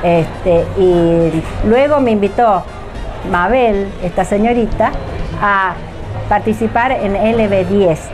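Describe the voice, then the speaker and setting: An older Argentine woman speaks very slowly at a medium pitch, reminiscing in an interview. She is hesitant and pauses to find her words, with music clearly playing behind her. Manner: hesitant, with long searching pauses. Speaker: former radio drama actress being interviewed.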